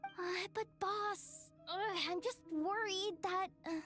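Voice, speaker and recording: An eager young underling in a game. The voice is extremely high and drawn out, hesitating and coaxing. Faint music plays behind. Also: female voice, takes it slowly, pushed and bright, full character voice. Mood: worried. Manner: hesitant, wheedling. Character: eager, loyal young employee anxious to please the boss. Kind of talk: video game voice acting.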